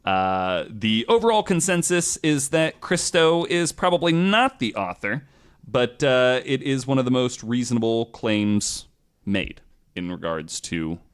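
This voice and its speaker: A man's voice: mid-range voice, sing-song, measured pace, smooth. A man hosting a podcast, telling stories in a casual, informal way with loose, sweary phrasing. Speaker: podcast host. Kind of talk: casual storytelling podcast talk.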